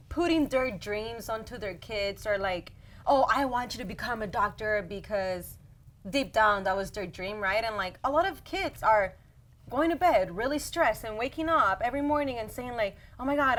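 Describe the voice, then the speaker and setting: A young woman hosts a relaxed podcast chat with a somewhat high voice. She talks encouragingly and keeps checking in with her guests, often ending thoughts with "right?". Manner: warm encouraging, many "like" and "right?" tags. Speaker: podcast host. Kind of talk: casual heartfelt interview chat.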